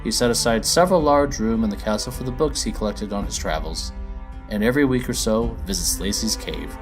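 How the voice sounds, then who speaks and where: A man's voice: on the low side, brisk, music in the background. A man reading a children's story as its narrator, in a scripted storybook style.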